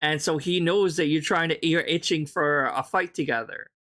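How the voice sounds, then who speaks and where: A man's voice: on the high side, moderate pace, fairly bright tone. A man co-hosting a casual chat, blunt and mocking in how he talks. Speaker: co-host.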